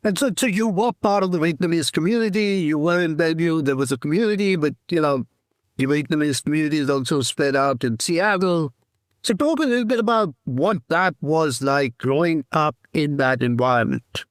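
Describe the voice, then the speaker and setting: Brief: male interviewer, recaps and prompts, fairly high voice, dry close sound. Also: normal speed, dry recording. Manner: recaps, then asks open prompts. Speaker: podcast host. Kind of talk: interview questions.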